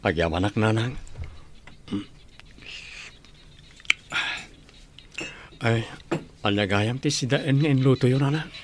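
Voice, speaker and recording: A man's voice: medium pitch, animated, a little fast, background noise, natural voice. A man with an Ilocano Filipino accent playing a sorrowful, ailing husband and father in a radio drama, earnest and plaintive. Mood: subdued.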